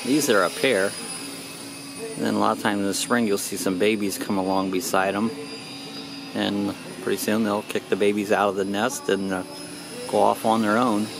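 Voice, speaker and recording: Gravelly older man filming outdoors. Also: lowish voice, rough recording. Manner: relaxed, rambling, with frequent "uh" pauses. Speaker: vlogger filming at a model airfield. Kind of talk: casual narration while filming. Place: outdoors at a grassy flying field.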